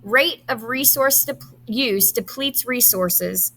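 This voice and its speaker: A woman, a high school teacher, reads quiz questions aloud to students, enunciating each item deliberately and adding brief instructions. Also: a bit bright.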